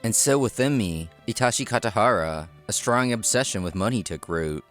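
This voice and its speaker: A man narrates a manga story in the first person. His voice sits fairly low and moves with lively intonation, over background music. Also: moderate pace. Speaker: narrator and lead character.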